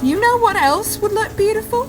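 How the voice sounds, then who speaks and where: Woman as a high-voiced wronged superheroine. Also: expressive, moderate pace. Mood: cold vengeance. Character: wronged young superheroine, earnest, vengeful. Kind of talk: radio play acting. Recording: voice over dramatic music.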